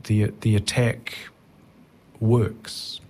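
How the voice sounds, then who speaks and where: Thoughtful, halting middle-aged man. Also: on the low side, little pitch movement, darkish tone, slight background noise. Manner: thoughtful, halting, often rephrasing mid-sentence. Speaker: touring stand-up comedian, interview guest. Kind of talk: reflective conversational interview.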